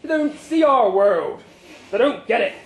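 A man's voice: very high-pitched, lively intonation, a little slow, reverberant, pitched above the performer's own voice, rough recording. A man voicing a fervent male boss who rallies beer lovers like a preacher, declaiming in rousing calls as part of a story reading. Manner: rousing, declamatory, chant-like call to the crowd.

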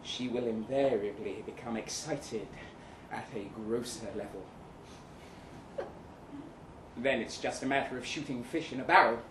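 An actor playing a boastful, lecherous Russian soldier in a florid, theatrical live story reading, savouring each phrase. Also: male voice, medium pitch, moderate pace, lightly acted. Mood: smug, instructive. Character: boastful, lecherous crippled Russian soldier advising lovelorn friend. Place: indoor live reading event in London venue. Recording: roomy live venue, background noise.

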